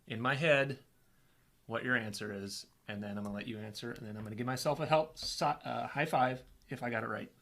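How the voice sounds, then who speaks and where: A man's voice: medium pitch, brisk, creaky, fairly bright tone. A man co-hosting a casual live question-and-answer chat, reading viewer questions aloud in an easygoing, playful way.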